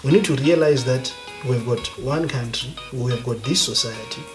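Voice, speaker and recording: Zimbabwean guy talking with passion, emphatic, clear music playing behind. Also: medium pitch, normal speed. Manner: emphatic, passionate, mixes in Ndebele phrases. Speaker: guest, academic economist. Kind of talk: conversational interview. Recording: background music.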